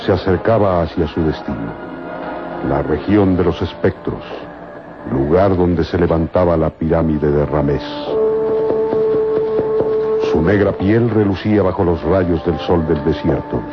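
A man's voice: deep voice, a little slow. A man narrating a scripted adventure serial in a dramatic, old-time radio style. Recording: muffled old radio recording. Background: music.

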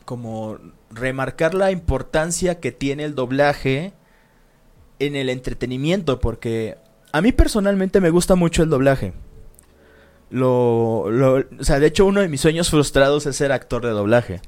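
Man, casual co-host, slangy, lots of fillers, average pitch. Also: lively intonation, unhurried, a little mellow. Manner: slangy and swearing, with many fillers. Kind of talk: casual chat.

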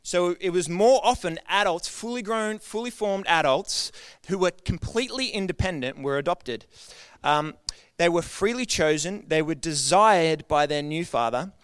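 A man's voice: sits high, expressive, moderate pace, fairly bright tone, clear, resonant tone, dry recording. A man preaching a sermon to his church in an earnest, conversational way, often asking the congregation questions. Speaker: pastor.

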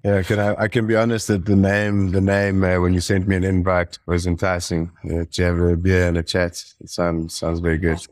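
Brief: low-pitched South African man, laid-back podcast guest, dry close sound. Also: brisk, few pauses, no room sound. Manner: relaxed, rambling, many ums and fillers. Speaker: wildlife guide, podcast guest. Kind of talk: casual conversation. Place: home on a wildlife estate.

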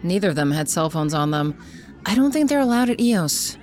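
An actress playing a composed, discreet professional woman in measured, naturalistic audio drama dialogue. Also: fairly low pitch, very animated, darkish tone, slight background noise. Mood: calm, guarded.

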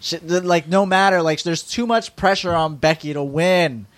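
A man co-hosting a wrestling podcast, his voice sitting a little high for a man. He recaps the shows in a loose, rambling way, full of "um" and "like", and laughs often. The sound is dry and close, with little room around it. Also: moderate pace, dry recording. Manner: laughs often, recaps with fillers and mimicked quotes. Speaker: podcast co-host. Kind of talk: casual wrestling chat.

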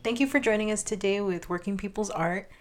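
A woman hosting a podcast, who opens with a scripted welcome and then asks her guest questions. Her prompts are brief and encouraging, and guitar music plays clearly behind her voice. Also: mid-range voice, fairly quick. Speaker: podcast host. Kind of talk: scripted intro, then interviewing.

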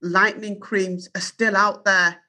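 A woman's voice: medium pitch, level tone, unhurried, sharp tone. A woman in her fifties with a Yorkshire accent, a journalist answering interview questions in an earnest, firm and self-assured way. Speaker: journalist and guest.

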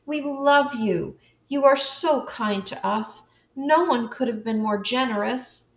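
An earnest young orphan girl, spoken slowly and carefully by an older woman reading aloud. The delivery is gentle and unhurried, with a roomy echo around the voice. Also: on the high side, voice pushed up.

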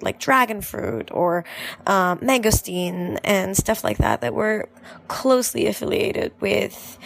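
A woman from Germany giving a reflective personal account, hesitant and thoughtful. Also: medium pitch. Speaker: interviewee.

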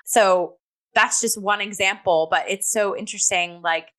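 Bright-voiced woman chatting through a review. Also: mid-range voice, normal speed. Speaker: co-host of a theatre review talk show. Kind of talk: conversational review discussion.

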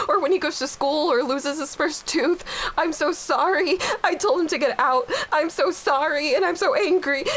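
An actress playing a young widowed mother, halting and raw with grief, in a dramatic dialogue. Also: medium pitch, close to an everyday voice. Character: grieving young widowed mother, guilt-ridden.

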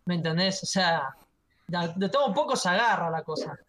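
A man's voice: high-pitched, expressive, brisk, a bit bright. A man with a Rioplatense Spanish accent chatting casually as a podcast panelist, explaining things in a tentative, circling way. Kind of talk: casual comic-book discussion.

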